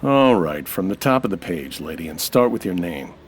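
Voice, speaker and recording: Low-voiced American noir tough guy. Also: sing-song, moderate pace, a little hiss or hum. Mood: gruff, demanding. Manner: wry, terse, tough-talking. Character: suspicious hard-boiled American nightclub owner, wry noir narrator. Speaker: actor playing lead detective-style hero. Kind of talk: old-time radio noir monologue and dialogue.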